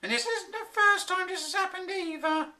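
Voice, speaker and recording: A shrill scolding wife. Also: female voice, normal speed, pushed and bright. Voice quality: very high, shrill. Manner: mocking, scornful.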